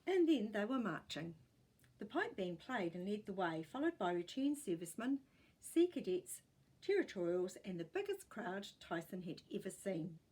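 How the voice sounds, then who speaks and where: A woman with a New Zealand accent reading a children's story aloud in an expressive storytelling style. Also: mid-range voice, normal speed, breathy edge, rough recording.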